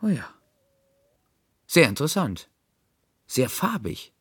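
An actor playing a polite young gentleman, secretly infatuated, who speaks with hesitant, over-eager courtesy in a radio drama. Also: mid-range voice, sing-song, takes it slowly, husky, no echo, studio quality, heavily acted. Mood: polite admiration.

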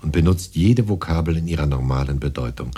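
Fussy modest poet, deep, very hoarse-edged, lively intonation, musing, radio drama. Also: male voice, a little fast, heavily acted. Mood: explanatory. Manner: musing, hesitant, muttering as he reads. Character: modest male poet, eager, fussy, tinkering with machine. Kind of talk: German radio drama acting.

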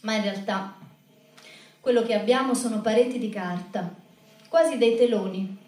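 Echoing, higher-pitched woman narrating from afar. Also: normal speed, darkish tone. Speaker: narrator of a spoken-word show about the human voice. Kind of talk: scripted literary monologue. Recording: roomy echo, distant mic.

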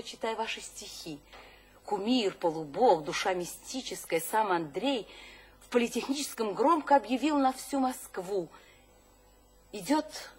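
High-voiced, exalted salon hostess. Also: female voice, darkish tone, slightly rough. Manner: theatrical, declamatory, dramatic pauses. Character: exalted, imperious literary salon hostess, fervently mystical. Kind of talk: audio drama stage acting.

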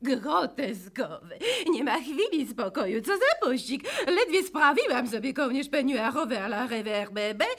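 An actress playing a fussy, snobbish high-society hostess with affected, gushing manners in a satirical radio play. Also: female voice, pushed and bright, exaggerated voice. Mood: indignant, amused.

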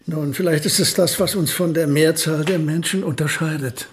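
An actor playing a famous elderly physicist in a radio drama, speaking in a measured, pedantic and earnest way. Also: male voice, on the high side, steady tone, a little hiss or hum, close to an everyday voice. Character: famous elderly male physicist, precise, proud, fussy.

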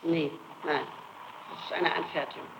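A woman's voice: low voice, fairly even intonation, normal speed, background noise. A middle-aged woman answering interrogation questions, insistent and defensive, repeating her denials, on an old 1960s archival tape. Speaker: suspect being interrogated.

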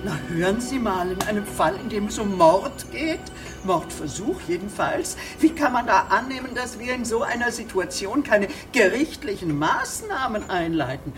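An actress playing a haughty, officious female magistrate, pompous and quick to take offence in a radio drama. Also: medium pitch, expressive, music in the background, natural voice. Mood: indignant, outraged. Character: imperious, indignant female magistrate eager for an execution.

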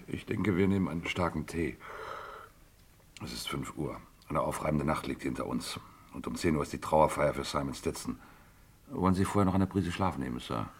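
A man playing a suave, scheming gentleman dealer in an old German radio crime drama, formal, measured and deadpan. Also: very deep voice. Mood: assured, dry. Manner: ceremoniously polite, measured, deadpan. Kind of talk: German radio crime drama acting, mid-20th century. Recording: noisy old radio play recording.

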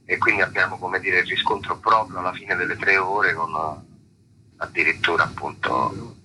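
A man's voice: deep voice, steady tone, normal speed, heavy background noise. A man, an actor giving reflective, conversational interview answers and searching for his words. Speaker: actor being interviewed about a play.

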